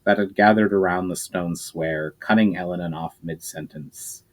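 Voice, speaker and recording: A man giving a dramatic reading of his own novel, narrating in a plain, steady way. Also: low-pitched, moderate pace. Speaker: storyteller.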